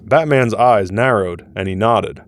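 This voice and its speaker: A man's voice: lowish voice, a little slow. A man narrating an audio drama in a scripted storytelling style.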